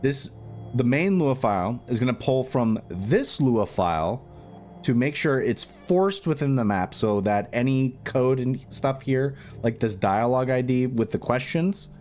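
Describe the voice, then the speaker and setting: A man presenting an unscripted step-by-step screen tutorial, thinking aloud in a halting way as he explains. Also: mid-range voice, animated, darkish tone, faint music behind.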